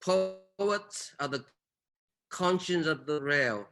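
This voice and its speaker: A man's voice: a little high, expressive, extremely slow, a bit bright. A man hosting an online poetry event over a video call, speaking effusively with thanks and affection. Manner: effusive, expressing gratitude and affection. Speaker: event host. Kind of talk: closing remarks at an online poetry reading.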